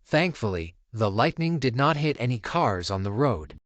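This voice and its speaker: A man reading scripted documentary-style narration for a countdown video, with measured, dramatic phrasing. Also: mid-range voice, sing-song, no echo.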